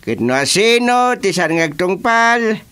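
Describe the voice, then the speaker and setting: Actor as a slow-talking, swooping bossy village chairman. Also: sits high, big pitch swings, bright, forceful tone, clean tone, exaggerated voice. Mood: stern, official. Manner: lecturing, mixes English into his speech. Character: bossy, teasing male village council chairman. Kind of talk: rural radio comedy drama acting.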